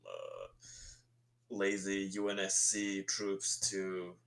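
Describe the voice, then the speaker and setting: A man's voice: low voice, sing-song, takes it slowly, vocal fry, fairly bright tone. A man talking casually as a player in a tabletop roleplaying game. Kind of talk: casual chat.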